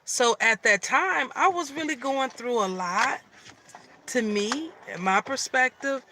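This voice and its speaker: A middle-aged woman from Michigan with a slightly raspy voice, chatting casually on a live stream and often restarting her sentences. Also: high voice, unhurried, noisy background. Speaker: vlogger.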